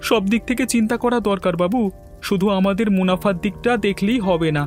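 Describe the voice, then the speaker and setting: A man acts out an earnest shop assistant in a cartoon tale. His voice is very high for a man, deferential yet reasoning, over faint music. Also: a little fast, close to an everyday voice. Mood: earnest, cautioning. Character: earnest male chop-shop helper advising his boss. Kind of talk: cartoon story dialogue.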